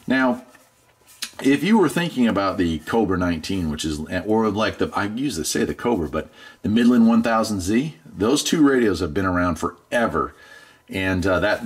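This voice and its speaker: A middle-aged man chatting casually through a product unboxing in a laid-back, folksy way. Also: deep voice. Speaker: product reviewer, channel host.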